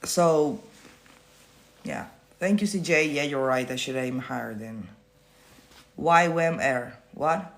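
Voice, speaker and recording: A man's voice: fairly high pitch, slow pace, pauses often, fairly bright tone. Blunt, casual man on a livestream. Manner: blunt and casual, swears freely; explains by repeating himself. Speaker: manifestation coach. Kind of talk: livestream Q&A, reading viewer comments.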